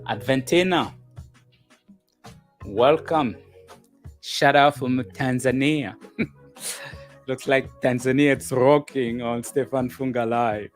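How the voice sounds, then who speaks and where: A man of middle pitch hosts a live call-in show, greeting viewers and his guest. His delivery is slow and deliberate, with phrases repeated to land them. Faint music sits under his voice. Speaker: host of a call-in relationship show.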